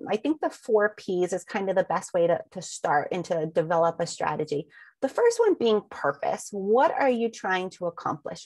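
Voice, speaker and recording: A woman leads an online training session in an easy, conversational way, asking her listeners one question after another and filling the gaps with ums. She sounds slightly sniffly. Her voice sits at a middle pitch and comes through dry and close, with no room sound. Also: darkish tone, no room sound. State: sniffly from allergies. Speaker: webinar presenter. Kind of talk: webinar presentation over slides.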